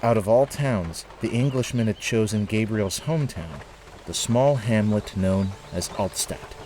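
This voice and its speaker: A man narrating a scripted audio drama in a measured storytelling manner. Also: on the low side, darkish tone, slight background noise, rough recording. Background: music.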